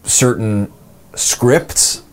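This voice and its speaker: A man's voice: medium pitch, drawn-out words. A young man, an audio drama actor and co-producer, answering interview questions in a casual, rambling way with many fillers and restarts.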